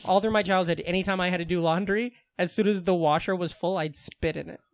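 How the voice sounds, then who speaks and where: A man's voice: sits high, fairly even intonation, fast, gravelly. A man with a slight lisp chatting casually as a podcast co-host, rambling and joking crudely. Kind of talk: casual comedic chat.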